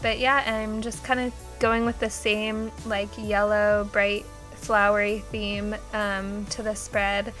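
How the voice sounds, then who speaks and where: A woman's voice: medium pitch, level tone, slow, hardly any pauses, fairly bright tone, faint music behind. A young woman who sounds nasal as she gets over a cold, chatting casually with many ums and likes as she narrates her journal spread. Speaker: bullet journal vlogger.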